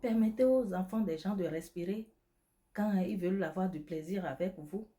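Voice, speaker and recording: A woman whose first language is not French talks straight to her viewers, preaching self-care in an emphatic, repetitive way. Her voice sits a little low and sounds mellow and dark. She addresses the listener directly and keeps stressing how important each point is. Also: normal speed. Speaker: beauty advice vlogger selling skincare products. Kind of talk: direct-to-camera advice talk.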